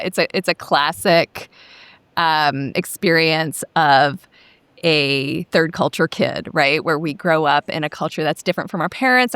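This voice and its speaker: A woman's voice: low-pitched, a bit bright, clean tone, no echo. A woman who grew up in Hawaii, a yoga teacher guest telling her story in an articulate, chatty way. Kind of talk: interview conversation.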